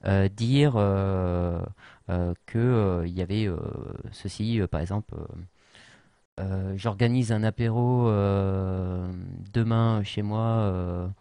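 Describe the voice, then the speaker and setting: A man's voice: lowish voice, steady tone, slow, dark tone, clear, resonant tone, no room sound. A man explaining a social media tool in an unscripted tutorial, full of fillers and restarts. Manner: hesitant, many fillers and restarts. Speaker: tutorial presenter.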